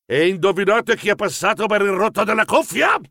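Bossy elderly grandfather mouse, played by a male reader, loud, gruff, animated, children's audiobook, dry close sound. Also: high-pitched, a little fast, no echo, pitched above the performer's own voice, exaggerated voice. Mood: proud announcing. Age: older. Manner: blustering, shouting.

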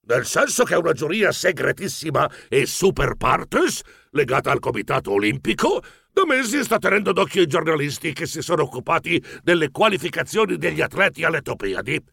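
Bossy elderly grandfather mouse, played by a male reader, loud, gruff, animated, children's audiobook, dry close sound. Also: a little high, a little fast, dry recording, heavily acted. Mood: proud announcing. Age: older. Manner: blustering, shouting.

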